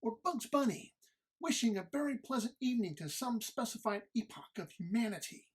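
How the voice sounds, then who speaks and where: A woman playing a haughty, exasperated companion in a comedy read-through, arch, formal and sarcastic, with a husky voice. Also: a little high, lively intonation, a little fast, slight background noise, close to an everyday voice. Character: haughty, exasperated, scolding companion, dry and disdainful. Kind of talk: informal script read-through of audio comedy.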